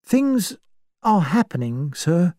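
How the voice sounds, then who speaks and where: Male servant, scared and pleading, mellow dry delivery; a woman narrator puts him on. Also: fairly low pitch, sing-song, slow pace, no room sound, voice pushed up. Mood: agitated. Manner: agitated, pleading. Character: shaken middle-aged male butler.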